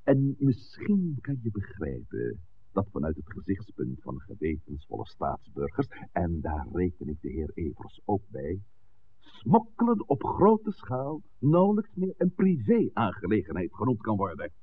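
An actor playing an authoritative village priest in a 1960s radio drama, speaking in a measured, formal, admonishing way. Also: male voice, sits low, wildly swooping pitch, husky, heavily acted. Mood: measured, diplomatic. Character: authoritative village priest, composed, stern peacemaker. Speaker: pastor. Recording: dull, noisy 1960s radio recording.

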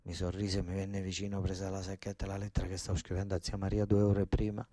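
An actor playing a desperate working-class southern Italian son and ex-soldier, in a colloquial, emphatic theatrical monologue with a southern Italian accent. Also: male voice, deep voice, monotone, fast, dark tone. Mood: subdued, sorrowful.

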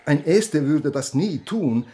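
A man's voice: fairly high pitch, animated, slow pace, dark tone, slightly rough, full character voice. A man acting a dry, courteous Estonian police inspector in a German radio drama. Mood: proud, wry.

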